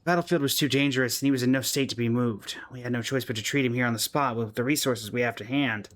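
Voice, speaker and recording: A man reading out the solemn, measured dialogue of earnest soldiers in a fantasy game story. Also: mid-range voice, fairly bright tone, natural voice. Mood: grave. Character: earnest soldiers speaking gravely over a wounded captain.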